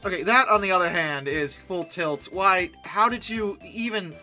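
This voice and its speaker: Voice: mid-range voice, normal speed, over music, rough recording. A video host giving comic commentary with a sarcastic, mock-incredulous and often exasperated delivery. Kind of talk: comic commentary on dinosaur art.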